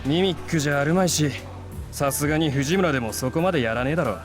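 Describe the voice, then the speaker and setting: Anime game schoolboy acted by a man, slightly high voice, rough and offhand, quick, over prominent music. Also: lightly acted. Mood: offhand, uneasy. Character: blunt, casual male high school classmate. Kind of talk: Japanese anime visual novel acting.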